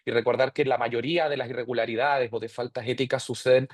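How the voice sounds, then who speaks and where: Man, webinar moderator, mid-pitched voice, orderly summarizing, dry sound. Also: fairly even intonation, fast talker, no echo.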